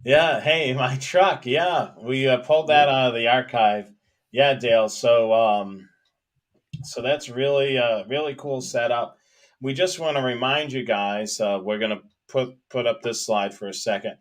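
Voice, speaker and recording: A man with a mid-pitched voice co-hosts a hobby live stream. He chats casually and ramblingly, hesitating and circling back over his phrases. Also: measured pace. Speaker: co-host of a live hobby show about police scanners.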